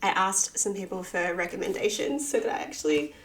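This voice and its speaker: Husky woman with slight vocal fry. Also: fairly low pitch, brisk, noisy background. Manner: chatty and self-deprecating. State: husky from a cold. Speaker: artist and channel host. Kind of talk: casual sketchbook tour vlog.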